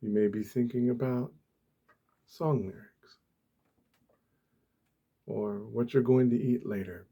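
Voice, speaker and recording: A man speaking softly and deliberately, with long pauses, guiding a meditation in a gentle ASMR style. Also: mid-range voice, steady tone, slightly rough. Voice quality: soft, hushed. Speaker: ASMR host, meditation guide. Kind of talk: soft-spoken ASMR guided meditation.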